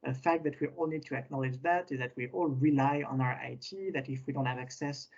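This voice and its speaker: A man hosting a webinar who introduces the topic quickly, with many fillers and restarts. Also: mid-range voice, steady tone, gravelly, darkish tone, breathy edge. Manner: hurried, hesitant, many fillers. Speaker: webinar host. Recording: dull lo-fi webinar audio.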